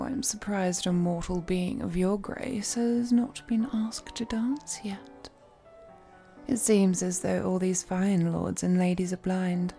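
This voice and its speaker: Woman playing a slow, velvety, flirtatious lady vampire. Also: lowish voice, gravelly, mellow. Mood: flirty, teasing. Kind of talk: scripted roleplay audio, intimate one-sided dialogue to the listener.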